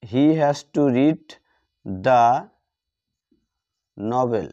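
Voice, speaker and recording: Brief: male tutor, slow and repetitive, mellow, dull lo-fi sound. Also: medium pitch. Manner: slow, repeats words while writing them out. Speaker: English grammar teacher. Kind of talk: slow classroom explanation while writing. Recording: dull lo-fi audio.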